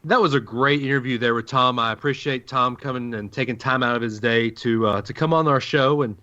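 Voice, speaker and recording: A man hosts a casual sports podcast in a bright, forceful voice. His pitch sits in the middle range, and the recording is a little dull and lo-fi. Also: a little fast, faint music behind. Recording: dull lo-fi audio.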